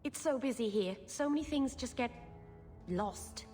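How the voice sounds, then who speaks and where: A woman's voice: lively intonation, measured pace, warm tone, soft background music. Naive, wide-eyed elf girl. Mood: wide-eyed wonder. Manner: guileless, wondering, chatty. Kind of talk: cinematic fantasy game acting. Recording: faint rumbling noise behind.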